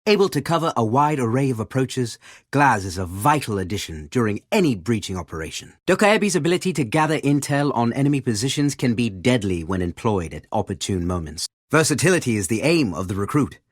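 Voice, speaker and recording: An actor playing a male special-forces director in a video game, giving formal, measured, scripted appraisals of his operatives. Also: medium pitch, very animated, no room sound, studio quality. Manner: formal, measured, deliberate delivery. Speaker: game announcer introducing operators. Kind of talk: scripted narration. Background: music.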